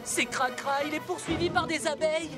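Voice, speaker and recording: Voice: high voice, steady tone, moderate pace, loud music behind the voice, close to an everyday voice. An actor voicing a decisive talking police car who leads a rescue team in a French children's cartoon, giving commanding instructions. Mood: urgent, decisive.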